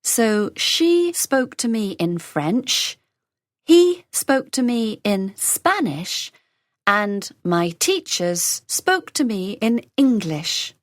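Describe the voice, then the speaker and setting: Woman, lesson dialogue reader: unhurried, pitch rising and falling a lot, dry close sound. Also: big pitch swings, dry recording. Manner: slow, carefully enunciated, lines read three times over. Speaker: dialogue reader for English learners. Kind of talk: scripted lesson dialogue, each line repeated.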